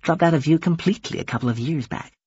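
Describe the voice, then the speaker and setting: Man putting on a husky, musing virtual woman. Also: a little high, fast talker, a little mellow, no room sound, lo-fi sound, voice pushed up. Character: purring, musing virtual woman, knowing and unhurried. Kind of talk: audiobook character dialogue.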